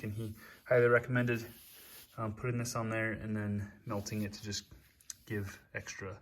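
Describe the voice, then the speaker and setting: A man giving a casual, hands-on how-to walkthrough as an amateur, talking haltingly as he pieces his sentences together while he works. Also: fairly low pitch, a bit bright, slight background noise. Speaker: amateur DIY how-to video maker.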